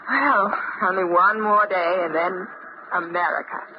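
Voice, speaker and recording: A woman's voice: on the low side, unhurried, lightly acted. A woman acting a chatty, genteel married lady in an old-time radio drama, with a polite, effusive manner. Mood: breezy, charmed. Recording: dull, noisy old radio recording.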